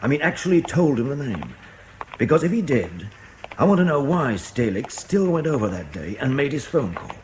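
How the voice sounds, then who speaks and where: A man playing a dogged, controlled intelligence officer in a radio drama, questioning in a measured, pointed way. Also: mid-range voice, sing-song, darkish tone, a little hiss or hum, lightly acted. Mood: brisk, probing.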